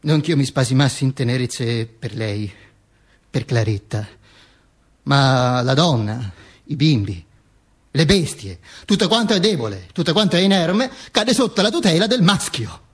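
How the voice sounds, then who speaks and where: A male actor playing a dead dictator's corpse as a bombastic, sardonic, macabre storyteller in a theatrical radio monologue, declaiming with halting stammers. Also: medium pitch, very animated, husky. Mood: pompous.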